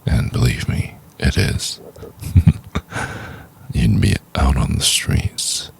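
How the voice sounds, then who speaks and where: A man playing a cold, domineering CEO in a soft-spoken ASMR roleplay, talking down in a condescending, deliberate half-whisper. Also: steady tone, heavily acted. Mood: contemptuous, dismissive. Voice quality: breathy, gravelly, very deep. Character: cold, domineering male CEO belittling a lowly intern.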